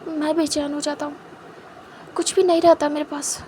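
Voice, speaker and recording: A woman voicing a calm, tender young man speaking softly to his partner. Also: a little slow, a little hiss or hum. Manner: soft, lowered voice.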